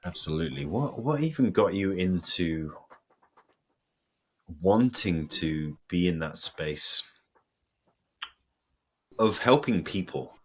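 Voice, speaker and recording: A man hosting a podcast who asks his questions in an unhurried, gently probing way. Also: sits low, lively intonation, slightly rough. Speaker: podcast host. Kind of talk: interview questions.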